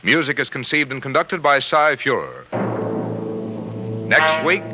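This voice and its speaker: A man's voice: on the high side, sing-song, slow pace. A man announcing formally in the style of 1940s network radio. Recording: 1940s radio broadcast over music. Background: music.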